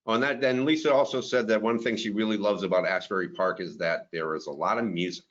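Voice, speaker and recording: A man's voice: on the low side, fairly even intonation, quick pace, muffled recording. A man in his fifties hosting a career webinar, upbeat and friendly as he runs polls and moderates. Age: 50s. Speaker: webinar host.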